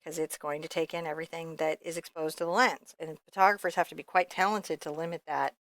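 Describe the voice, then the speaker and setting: A woman's voice: sits low, steady tone, fast, a bit bright, dry recording. A woman giving a casual step-by-step painting lesson, thinking aloud in a candid, informal way with rambling asides. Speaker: painting instructor.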